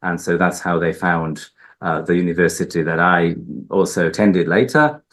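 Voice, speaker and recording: A man's voice: deep voice, moderate pace, fairly bright tone. A man from Hungary, a linguist answering interview questions, talking hesitantly with many fillers and restarts. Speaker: linguist guest. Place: research institute building.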